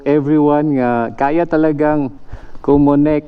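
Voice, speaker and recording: A man's voice: fairly high pitch, steady tone, slow, clean tone, narrow, tinny audio. A Filipino man presenting motorcycle gear in a casual, explanatory way, often addressing his viewers. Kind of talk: casual product demonstration.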